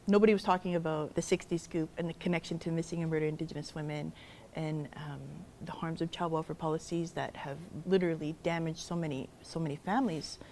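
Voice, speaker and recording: Woman, fairly low voice, earnest and reflective, halting restarts, faint hum behind. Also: mellow. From Canada. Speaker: activist. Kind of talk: documentary interview.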